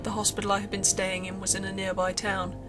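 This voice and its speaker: Casting: female horror-story narrator, mid-pitched, scripted read with clear music bed. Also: monotone, fast talker.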